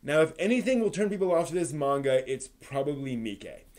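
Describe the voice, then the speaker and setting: A man hosting a live stream, giving a manga review in an articulate, expressive way. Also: fairly high pitch, fairly quick. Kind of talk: manga review on a live stream, part prepared, part casual chat.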